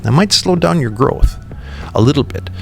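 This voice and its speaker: A man guesting on a podcast, a company founder who explains his ideas in a thoughtful, relaxed way, with frequent ums and restarts. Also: fairly high pitch, normal speed, creaky, slight background noise. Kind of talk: relaxed interview conversation.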